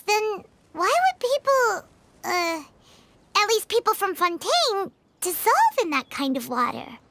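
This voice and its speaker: A woman's voice: extremely high pitch, bright, forceful tone, a little hiss or hum, full character voice. A woman voicing a tiny, curious, naive fairy companion in a video game, with a childlike, wide-eyed way of talking. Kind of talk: scripted game dialogue.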